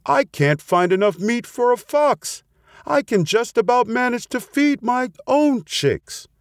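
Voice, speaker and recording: A man's voice: on the high side, big pitch swings, measured pace, dry recording, studio quality, voice pushed up. A man voicing an anxious, earnest mother turtle dove in a storybook tale. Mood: distressed, begging. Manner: pleading, earnest. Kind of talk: storybook character voice.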